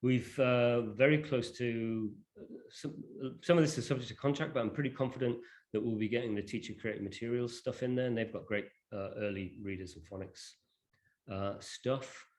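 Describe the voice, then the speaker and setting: A man's voice: medium pitch, normal speed. A man giving an informal online presentation and answering questions, explaining in a hesitant, conversational way. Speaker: presenter of an education product.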